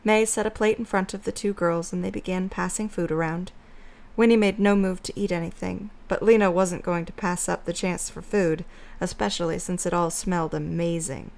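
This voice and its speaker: A woman's voice: lowish voice, fairly even intonation, moderate pace, gravelly, darkish tone. A woman reading scripted story narration as the narrator of an audio drama.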